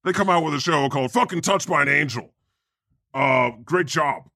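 A man's voice: on the high side, moderate pace, pushed and bright, no echo, studio quality. A man playing God as a jealous, flippant podcast host, joking and swearing in a comedic interview. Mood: mock jealous. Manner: joking, sweary, mock-aggrieved.

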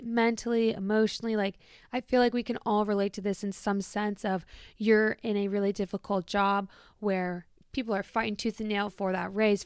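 A young woman chats through her podcast in a bubbly, easygoing way. Her voice is smooth and clear, though a little lower and shakier than usual after a busy event. The sound is dry and close, with no room around it. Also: dry recording. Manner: bubbly, chatty, with light laughs. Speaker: podcast host. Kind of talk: casual podcast monologue.

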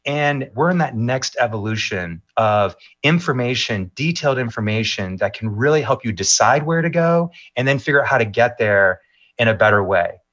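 A middle-aged man answering interview questions as a maps executive, in measured, polished talking points with occasional fillers. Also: medium pitch, lively intonation, a bit bright, lo-fi sound.

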